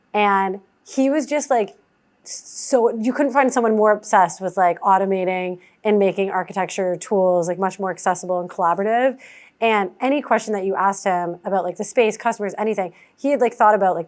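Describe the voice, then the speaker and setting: A woman venture investor answering audience questions in casual, chatty talk, filling her speech with "like" and acting out other people's lines. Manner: chatty, heavy use of "like" fillers; acts out founders' lines in a higher voice.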